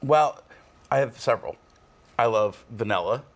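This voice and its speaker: A man's voice: mid-range voice, expressive, moderate pace. A man as a regular on a television talk show, joking and self-mocking in casual banter. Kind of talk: casual studio chat. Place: TV studio.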